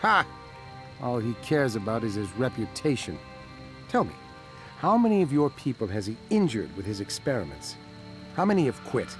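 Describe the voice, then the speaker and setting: An actor playing a wily, sardonic little gnome-like creature in a fantasy video game, dry and mocking. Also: male voice, medium pitch, big pitch swings, normal speed, pauses often, natural voice. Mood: sarcastic. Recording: faint music underneath.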